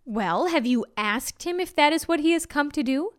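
A woman voicing a firm, no-nonsense schoolteacher in a storybook tale, questioning in a measured way. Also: high-pitched, lively intonation, no room sound, clean studio sound, pitched above the performer's own voice. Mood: stern.

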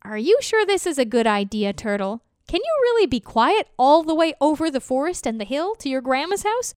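A woman voicing a pair of kindly birds who speak gently and patiently. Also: high voice, sing-song, a little fast, dry recording, studio quality. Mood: doubtful.

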